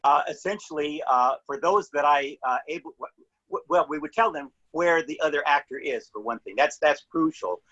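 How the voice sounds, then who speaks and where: A man explaining his work informally on a video call, halting and often repeating words as he searches for them. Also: on the high side, animated, a bit bright. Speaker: panelist, filmmaker and editor of online play. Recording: dull, muffled video-call audio.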